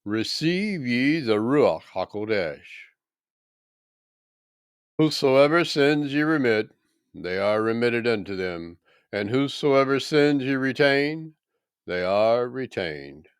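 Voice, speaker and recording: Risen holy teacher for scripture drama: calm authority, solemn, deliberate, smooth and resonant, very dry sound male reader. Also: medium pitch, dry recording. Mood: solemn blessing.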